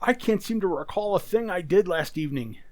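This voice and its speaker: A man acting a troubled, forgetful factory boss in an old-style radio drama, halting and uneasy. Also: sits high, lively intonation, a little mellow, dry recording. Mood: uneasy, defensive.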